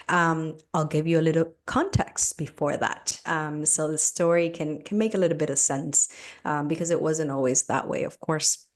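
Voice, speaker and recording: Low-voiced woman from Cuba, reflective. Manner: reflective, frequent fillers and restarts, laughs easily. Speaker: podcast guest. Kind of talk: conversational interview.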